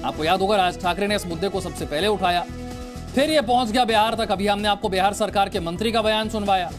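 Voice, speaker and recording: High-pitched male news anchor over music. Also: quick pace. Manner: explanatory, addressing viewers directly. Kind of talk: Hindi TV news presentation. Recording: music bed under the voice.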